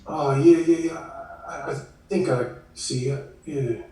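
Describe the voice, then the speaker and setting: A man acting an excitable, suspicious garage boss in a table read. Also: a little high, takes it slowly. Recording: echoey room, distant video call mic.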